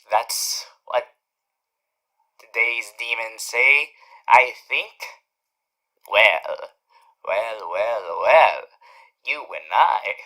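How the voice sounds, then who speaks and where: Slender gentleman radio demon, super theatrical n teasing, slow drawn out words, pitch swooping everywhere, asmr roleplay. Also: rough recording. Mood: playful teasing, then delighted. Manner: theatrical, courtly, drawn-out emphatic phrasing with sudden swoops. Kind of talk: ASMR character roleplay to the listener.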